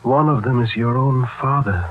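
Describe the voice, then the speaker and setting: An actor playing a grave, knowing informant in a radio drama, formal and deliberate. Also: male voice, mid-range voice, a little hiss or hum, close to an everyday voice. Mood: grave, insistent. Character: grave, knowing man warning of a plot. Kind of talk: old-time radio drama acting. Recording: tinny old radio recording.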